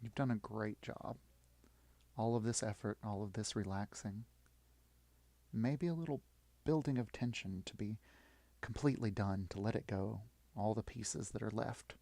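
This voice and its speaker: A man leading a guided yoga nidra meditation in short, soothing, encouraging phrases with long pauses. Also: on the low side, vocal fry, mellow, no echo.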